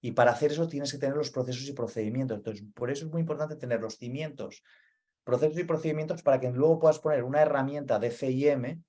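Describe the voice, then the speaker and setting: A man's voice: mid-range voice, darkish tone. Earnest man with a Spain Spanish accent. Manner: earnest, repeats key points, frequent "vale?" check-ins. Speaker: webinar speaker, data center expert. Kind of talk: explanatory webinar talk.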